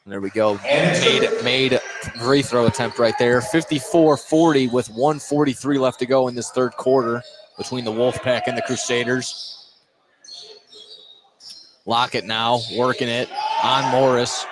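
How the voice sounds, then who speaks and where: A man calling live high school basketball play-by-play on the radio as the game's announcer. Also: steady tone, a little slow. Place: indoor school gymnasium during a game. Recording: noisy gym, loud background noise.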